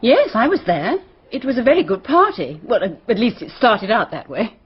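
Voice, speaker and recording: A woman's voice: on the high side, sing-song, normal speed, breathy edge, highs cut off, heavily acted. A woman playing a prim, guarded English schoolteacher in a radio drama, proper and defensive, with an English accent.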